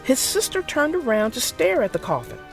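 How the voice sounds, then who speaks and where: A narrator reading a children's story aloud in an expressive, theatrical and deliberate way. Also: mid-range voice, faint music behind. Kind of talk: expressive scripted read-aloud for young children.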